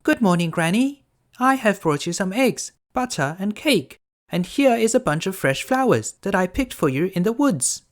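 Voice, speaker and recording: A man puts on a sweet little girl from a storybook, pitched very high. She sounds innocent and polite, with a sing-song swing to her words. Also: sing-song, moderate pace. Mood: cheerful. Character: sweet, trusting little girl in a fairy tale.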